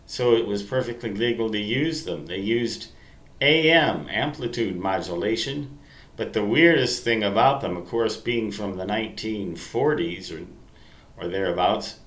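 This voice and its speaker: An older man reminisces in a roomy, echoing space. His voice sits on the low side, and he takes his time with a deliberate delivery, stressing key words as he wanders through his story. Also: a bit bright. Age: 60s. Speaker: amateur radio hobbyist telling reminiscences. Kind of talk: rambling storytelling monologue to listeners. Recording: roomy, echoey sound.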